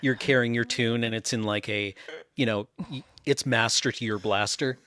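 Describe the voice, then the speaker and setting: A man's voice: mid-range voice, fairly even intonation, moderate pace, a bit bright. A man co-hosting a casual chat, riffing on playful tangents and absurd jokes. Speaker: podcast co-host.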